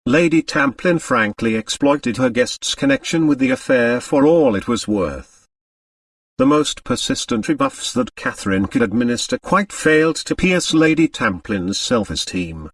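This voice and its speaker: A male audiobook narrator reads literary prose with a wide, animated pitch range. His voice sits at a middle pitch, in a dry recording slightly away from the mic. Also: dry recording, recorded from a distance.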